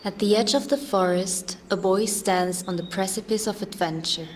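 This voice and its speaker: An actress playing a solemn, mysterious fairy-tale narrator with poetic, suspenseful and deliberate delivery. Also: female voice, medium pitch, steady tone, slight background noise, lightly acted. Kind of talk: scripted dramatic narration.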